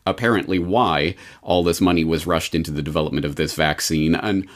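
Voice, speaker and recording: A man's voice: rumbling low, brisk. A man hosting a casual interview, talking in a wry, sceptical manner. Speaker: interviewer, host.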